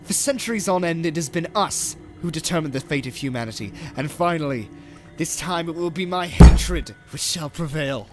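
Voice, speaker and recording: A man's voice: on the high side, lively intonation, normal speed, lightly acted. Man playing a cold, grandiose villain over music. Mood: scornful, grandiose. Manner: menacing, grandiose, speech-making. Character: cold, gloating male alien villain who despises humanity. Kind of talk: audio drama acting. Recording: loud music behind the voice. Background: dramatic music.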